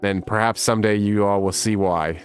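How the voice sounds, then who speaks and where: A man in his thirties with a rough smoker's voice, casually chatting on a livestream and reading viewer comments, with lots of laughs. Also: lowish voice, steady tone, normal speed, soft background music. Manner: laid-back and rambling, laughs often. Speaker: livestream host.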